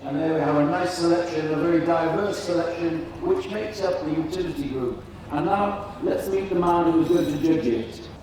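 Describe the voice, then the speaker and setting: A man announcing and commentating at a live show in a measured, deliberate, formal manner. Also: fairly high pitch, darkish tone. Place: large indoor show arena. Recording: echoey PA in noisy crowded hall, distant. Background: crowd noise and hall ambience.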